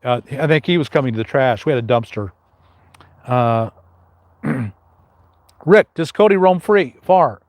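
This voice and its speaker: A man's voice: mid-range voice, animated, a little slow, long pauses, no echo. An older man with a big, carrying voice hosts a casual live question-and-answer stream, rambling with many fillers and restarts.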